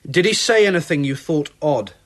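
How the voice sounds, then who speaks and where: An actor playing a police inspector in a radio drama, asking measured, probing questions. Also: male voice, on the high side, expressive, fairly bright tone.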